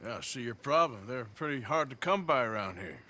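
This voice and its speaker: A man playing a gruff, sardonic American cowboy gunslinger in a video game, with a dry drawl. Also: medium pitch, animated, brisk, pushed and bright. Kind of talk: video game cutscene acting. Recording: noisy outdoor game ambience under dialogue.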